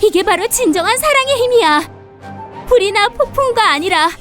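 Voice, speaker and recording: Woman as a brave young-sounding she-dragon, very high voice, earnest, loving, cartoon fairy tale. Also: animated, moderate pace, fairly bright tone, faint music behind. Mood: defiant.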